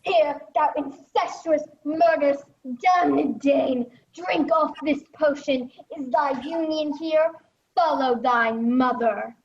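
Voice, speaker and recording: Resolute, vengeful young prince played by a young woman, high pitch, Shakespearean radio drama, dull sound with faint noise. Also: takes it slowly. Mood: furious. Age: young adult. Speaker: student actor. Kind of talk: Shakespearean radio play acting. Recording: muffled, noisy home recording.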